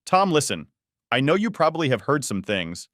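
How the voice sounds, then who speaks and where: A man's voice: mid-range voice, sing-song, moderate pace, a bit bright, no room sound, clean studio sound, pitched above the performer's own voice. A man playing a guilty male lover in an audiobook drama, strained, hesitant and pleading. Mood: stammering, defensive. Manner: hesitant, stammering, urgent.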